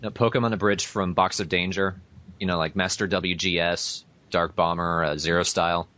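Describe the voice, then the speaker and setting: Man, very low pitch, casual podcast host, dry, blunt delivery, dull lo-fi recording. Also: fairly even intonation, moderate pace, sharp tone. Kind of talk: casual chat. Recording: dull lo-fi voice chat.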